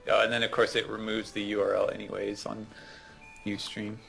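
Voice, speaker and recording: Low, gravelly man chatting over a game. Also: completely flat delivery, a little fast, a bit bright, faint music behind, rough recording. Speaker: gaming show co-host. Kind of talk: casual chat, game commentary.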